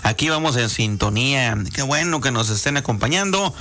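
Hoarse Mexican radio host, bright tone. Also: male voice, medium pitch, expressive, moderate pace. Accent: Mexican Spanish. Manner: chatty, folksy, many fillers. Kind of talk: casual radio chat reading listener greetings.

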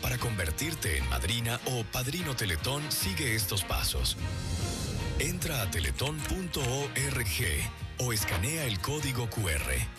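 Man announcer over loud music. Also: medium pitch, very animated, a little slow. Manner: clear, persuasive delivery. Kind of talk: scripted ad voice-over.

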